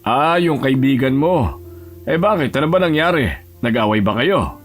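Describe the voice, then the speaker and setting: A man voicing a gentle, regretful old grandfather who talks in a plain, fatherly way in an audio drama. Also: mid-range voice, lively intonation, normal speed, soft background music, natural voice. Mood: gently curious. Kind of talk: Tagalog audio drama acting.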